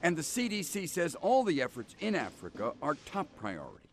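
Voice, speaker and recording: A man's voice: fairly high pitch, wildly swooping pitch, fairly quick. A man with an American accent narrating a scripted network news report as a correspondent.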